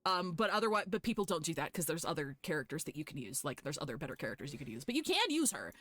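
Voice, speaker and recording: A woman streaming a game live, chatting casually with viewers in an animated, sweary, fan-like way. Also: sits low, racing, no room sound. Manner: foul-mouthed. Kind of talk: casual chat with viewers while gaming.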